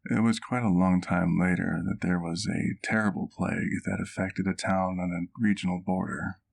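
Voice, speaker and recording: A man playing a doting, wistful vampire doctor in an ASMR roleplay, telling his story gently in formal, literary language. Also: sits low, steady tone, normal speed, gravelly. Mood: reflective.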